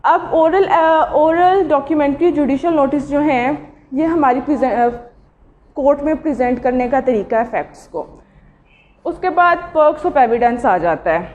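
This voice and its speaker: High-voiced Pakistani woman lecturing, slow pace. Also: darkish tone. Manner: halting, mixes Urdu and English legal terms. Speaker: law lecturer. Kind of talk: informal lecture on evidence law. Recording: dull lo-fi audio.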